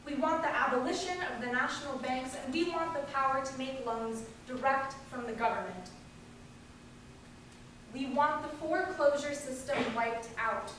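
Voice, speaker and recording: An impassioned populist woman orator, read out by a woman, denounces the powerful in a high voice. The delivery is rhetorical and emphatic, heard from a distance in a very echoey hall with faint noise. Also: moderate pace, a bit bright. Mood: indignant, defiant. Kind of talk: declaimed political speech read aloud.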